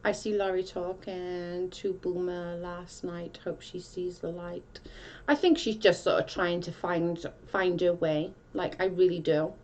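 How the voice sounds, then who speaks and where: A woman's voice: fairly low pitch, little pitch movement, a little slow, darkish tone, highs cut off, slight background noise. A woman from South Wales with a Welsh accent, chatting casually on a livestream and reading out comments in a rambling way with many restarts.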